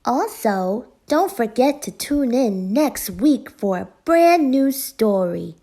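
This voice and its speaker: A woman reading a children's story aloud in a gentle, measured storytelling manner. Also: fairly high pitch, sing-song, slight background noise. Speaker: storybook reader. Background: music.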